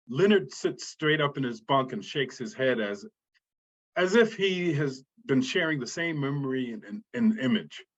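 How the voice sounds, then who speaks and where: A man reading out screenplay stage directions as a scripted narrator. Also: medium pitch. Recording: dull, muffled sound.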